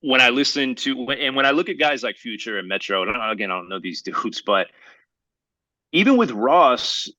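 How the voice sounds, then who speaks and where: A man co-hosting a podcast in casual, slangy, opinionated chat, talking in a halting, rambling way and circling back to qualify his points. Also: mid-range voice, expressive, bright, forceful tone, no echo, poor audio. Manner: halting, rambling, hedging delivery; slangy, addresses listener as bro. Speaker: podcast co-host.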